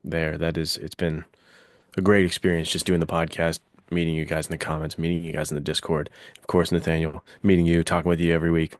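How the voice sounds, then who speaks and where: A man's voice: a bass voice, flat delivery, quick pace, no room sound. A man co-hosting a podcast in casual chat, speaking encouragingly and directly to listeners. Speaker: podcast co-host.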